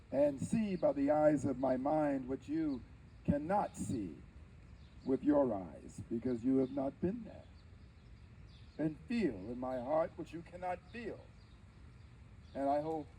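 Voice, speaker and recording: A man's voice: a little high, sing-song, warm tone, slight background noise, heavily acted. Man acting solemn old preacher, distant outdoor recording. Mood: grave, reflective. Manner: solemn, formal, halting oratory with dramatic swells. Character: frail, devout old man, formerly enslaved preacher, addressing an audience. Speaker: live interpreter. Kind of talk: theatrical first-person historical oration.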